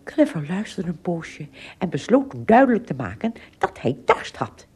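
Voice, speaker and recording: Low, lively woman storyteller. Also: breathy edge. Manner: expressive storyteller with dramatic pauses and asides. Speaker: narrator. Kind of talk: scripted children's story narration.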